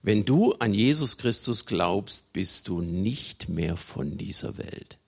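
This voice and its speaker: A man from Germany preaching a sermon, with emphatic delivery, deliberate pauses and conversational asides to his listeners. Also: deep voice, expressive, gravelly, a bit bright, husky. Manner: emphatic, with dramatic slow phrasing and pauses. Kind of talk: sermon to a congregation.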